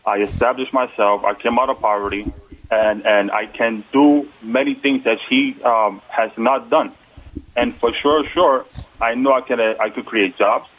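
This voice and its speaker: A man with a Puerto Rican accent, calling in as a political candidate. He talks earnestly but haltingly, pausing on fillers. The sound is thin and phone-like, at a mid pitch. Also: steady tone. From United States. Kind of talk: phone-in interview. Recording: tinny phone line.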